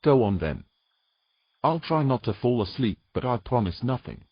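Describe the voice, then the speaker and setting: A man playing a weary, gruff knight with dry, teasing humour in a romantic fantasy audio drama. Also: medium pitch, very animated, normal speed, muffled recording. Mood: grudging, teasing.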